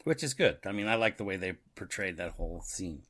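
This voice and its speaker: A man's voice: low voice, animated, brisk, a bit bright. A man co-hosting a podcast, chatting casually and agreeably, often affirming what is said. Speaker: podcast co-host. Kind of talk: casual chat.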